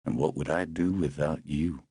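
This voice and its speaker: A gruff, protective middle-aged driver, voiced by a male narrator, sounding husky and close with lively swings in pitch. He talks bluntly, like a worried old friend. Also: normal speed, no room sound, heavily acted. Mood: concerned.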